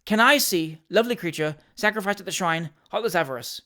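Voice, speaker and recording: A man's voice: sits high, brisk, bright, forceful tone. A man voicing a glib, scheming rogue in a storybook, speaking in clipped fragments with theatrical, feigned gallantry. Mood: melodramatic. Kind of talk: dramatised storybook reading.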